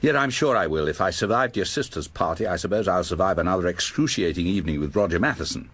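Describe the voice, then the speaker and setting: An actor playing a courteous, dryly witty middle-aged British hospital doctor in an audio drama. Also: male voice, low voice, lively intonation, fast talker, a bit bright. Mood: dryly exasperated. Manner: courteous, dry, wry.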